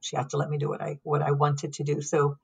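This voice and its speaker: A middle-aged woman from Lebanon, a podcast guest, telling her story calmly and reflectively with frequent pauses and ums. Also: low voice, gravelly, slightly rough. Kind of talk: conversational storytelling.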